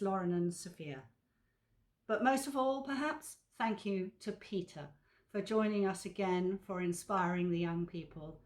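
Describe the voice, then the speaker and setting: A woman presenting an awards event, reading out a scripted thank-you speech. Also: lowish voice.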